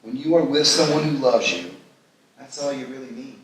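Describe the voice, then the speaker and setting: A man acts out an earnest, lovestruck thirtysomething who gushes about his new life, read live on stage. The voice sits at a middling pitch, recorded from a distance in a very echoey room with some background noise. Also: animated, moderate pace, lightly acted. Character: smitten man in his thirties, self-absorbed, giddy about new romance. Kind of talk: live screenplay table read. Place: live festival stage reading in a large room. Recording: echoey hall, distant mic, noisy.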